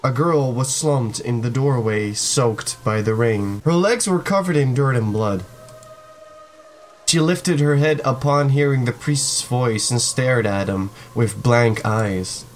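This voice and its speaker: A man hosting a gaming video, reading a visual novel aloud in a theatrical, expressive way. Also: mid-range voice, takes it slowly, a little hiss or hum.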